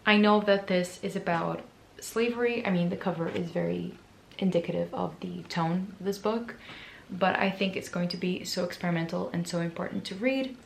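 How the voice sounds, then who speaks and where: Woman book vlogger, hoarse and gravelly today, chatty and self-mocking, echoey room. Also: fairly low pitch, normal speed, slight background noise. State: hoarse, raspy voice from screaming. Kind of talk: casual book chat to camera.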